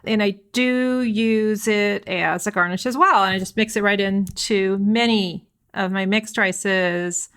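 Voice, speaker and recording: A woman co-hosting a food podcast, chatting lively and asking her partner questions. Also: mid-range voice, a little slow, hardly any pauses, smooth. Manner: lively, laughs easily, asks questions. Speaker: podcast co-host. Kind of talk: casual chat.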